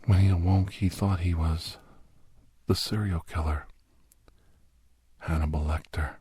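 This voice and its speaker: A man playing a grave, haunted doctor and war veteran in an ASMR horror audio drama, speaking slowly in a breathy, husky hush that often slips toward a whisper. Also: low voice, fairly even intonation, exaggerated voice. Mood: somber, ominous. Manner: slow, halting, hushed, often slipping into whisper.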